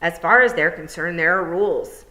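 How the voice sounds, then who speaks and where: A woman's voice: fairly low pitch, normal speed, poor audio. A woman reading an audiobook and voicing a dry, sardonic male toad. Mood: deadpan. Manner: dry, deadpan, mildly sardonic.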